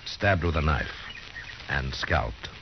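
An American man playing a stern, steady, terse frontier marshal in a 1950s radio western. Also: very deep voice, takes it slowly, creaky, exaggerated voice. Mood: firm, stern. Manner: terse, commanding. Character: stern, steady frontier lawman in the Old West. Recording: muffled, noisy 1950s radio recording.

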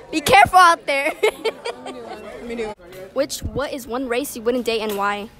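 A woman's voice: fairly high pitch, lively intonation, slow, a bit bright, faint music behind. A teenage girl interviewing schoolmates on campus, teasing and giggling, filmed on a phone. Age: teens. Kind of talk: street interview. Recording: crowd noise behind. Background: students chatting.

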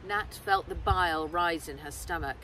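A middle-aged woman, an author reading her adventure novel aloud in expressive storybook narration. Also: fairly low pitch, moderate pace. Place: outdoors in woodland. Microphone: camera a short distance away. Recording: outdoors, distant mic, clear background noise.

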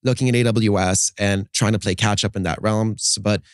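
A man's voice: fairly low pitch, fairly even intonation, fairly quick, no room sound, studio quality. A man in his thirties, a startup co-founder, explaining his business fluently in an interview, often adding "right?", his voice slightly worn from a busy conference. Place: conference podcast studio set, indoors. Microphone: studio mic on boom arm, wearing headphones.

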